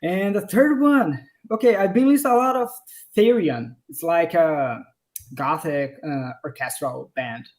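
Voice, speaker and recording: A man with a Brazilian accent, his voice a little higher than most men's, answers community questions about his game over a video call. He speaks in a hesitant way, stopping and restarting as he looks for words. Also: unhurried. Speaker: game developer. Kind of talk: casual livestream Q&A. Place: home office.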